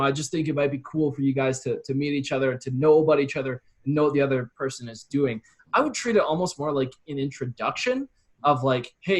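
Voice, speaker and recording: A man's voice: on the high side, slightly rough. A man giving advice as an interview guest, explaining his approach casually and conversationally. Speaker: interview guest, copywriting expert.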